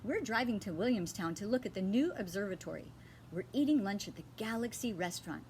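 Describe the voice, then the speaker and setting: A woman's voice: medium pitch, lively intonation, a little fast, darkish tone, a little hiss or hum, lightly acted. A woman reading aloud the part of an eager, scatterbrained mother in a children's story, bubbly and emphatic. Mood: insistent, excited.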